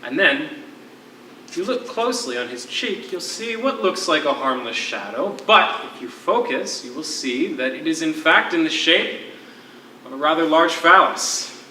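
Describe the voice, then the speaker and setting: An actor playing a lyrical, wistful former poetry teacher held as a prisoner, speaking with theatrical eloquence in a stage play. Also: male voice, on the high side, animated, bright, forceful tone. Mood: sly, amused. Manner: eloquent, theatrical, measured storytelling. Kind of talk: live one-act stage play. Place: theatre stage, recorded from a distance. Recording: echoey, distant stage recording with faint noise.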